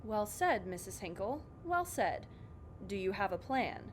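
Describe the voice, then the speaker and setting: An actress playing a formal, officious school superintendent chairing a meeting in an audio drama. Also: female voice, sing-song, unhurried. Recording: faint noise with low rumble.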